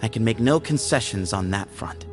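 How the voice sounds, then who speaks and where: An actor playing a solemn, pragmatic temple heir in a video game, speaking in a formal, measured way. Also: male voice, lowish voice, animated, music in the background, close to an everyday voice. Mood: firm, formal.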